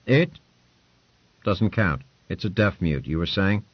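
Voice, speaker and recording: A brusque, imperious lord barks short commands in a raised, forceful voice, as a man puts him on. The sound is dry and a little dull. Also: deep voice, big pitch swings, slow, husky, no room sound, full character voice. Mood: commanding. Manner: curt, commanding, clipped orders.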